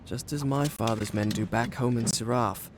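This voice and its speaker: A man's voice: medium pitch, moderate pace, noisy background, natural voice. An actor playing a proud, sardonic young Persian prince, telling his adventure in eloquent, formal, dramatic game dialogue. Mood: wistful. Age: young adult. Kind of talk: dramatic video game voice acting and storytelling. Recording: music behind.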